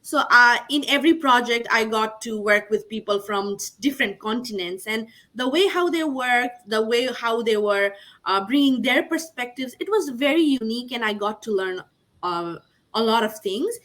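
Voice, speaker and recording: A woman's voice: a little high, expressive, moderate pace, fairly bright tone. A young woman from Nepal on a video call, answering panel questions earnestly with many fillers like "like" and "you know". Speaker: MBA graduate panelist.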